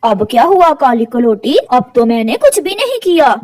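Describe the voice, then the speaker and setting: Woman as grumpy male pigeon neighbour in a cartoon, sarcastic, animated high voice. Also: moderate pace. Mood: annoyed.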